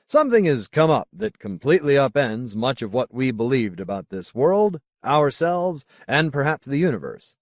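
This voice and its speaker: A man voicing a solemn, zealous colony leader in an audiobook, speaking in a formal, deliberate, oratorical way. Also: medium pitch, sing-song, no room sound, studio quality, pitched above the performer's own voice, natural voice. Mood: grave, preaching. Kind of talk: audiobook character dialogue.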